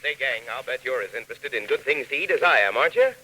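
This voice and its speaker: A man's voice: medium pitch, lively intonation, fast, pushed and bright, husky, low-quality recording. An American man doing a chummy, enthusiastic, folksy 1940s radio commercial aimed at children.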